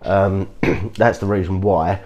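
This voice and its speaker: A man hosting a podcast, sounding croaky from a cold, giving earnest casual advice with frequent restarts and repeated reassurances to his listeners. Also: low-pitched, fairly even intonation, takes it slowly, warm tone, lo-fi sound. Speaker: podcast host. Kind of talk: casual motivational talk.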